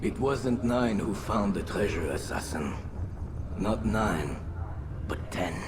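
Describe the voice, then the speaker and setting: A man's voice: lowish voice, lively intonation, background noise. A man playing a dying Templar grand master in a video game, bitterly sardonic and weakened, speaking with knowing irony. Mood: knowing, weary. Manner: slow, ironic, knowing. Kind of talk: dramatic video game cutscene acting.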